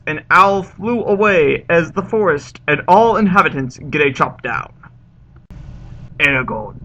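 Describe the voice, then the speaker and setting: A man playing a pompous, earnest foreign author who gives theatrical, deliberate dramatic readings of his absurd broken-English stories. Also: a little high, sharp tone, highs cut off, a little hiss or hum. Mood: grave. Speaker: reader of fan fiction.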